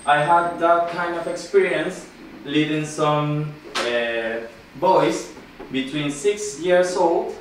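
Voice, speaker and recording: Man, Ecuadorian Spanish accent, halting student presenter, unhurried pace, slightly high voice, informal classroom talk. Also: a bit bright. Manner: halting non-native English, often seeking agreement with "no?". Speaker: student presenter, football coach. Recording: very echoey room, distant mic, noisy.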